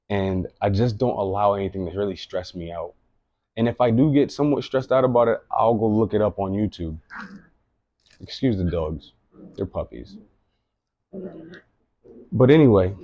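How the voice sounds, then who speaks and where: Late 30s guy talking earnest and rambly, lowish voice, kinda muffled lo-fi recording. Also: darkish tone, dry recording. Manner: earnest, rambling, repeats himself. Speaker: vlogger. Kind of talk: reflective personal monologue.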